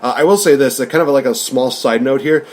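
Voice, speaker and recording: A man with a mid-pitched voice in relaxed film discussion as a co-host. He speaks colloquially and thinks aloud, wandering through his points. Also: brisk. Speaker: podcast co-host reviewing films. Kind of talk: casual, conversational film discussion.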